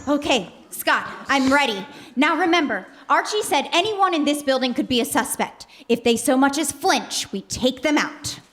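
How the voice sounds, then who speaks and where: A woman playing an assertive, excitable wife and mother in a live comedy radio play, with emphatic, theatrical delivery. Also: sits high, moderate pace, hardly any pauses. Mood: threatening. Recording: live theater stage, faint noise.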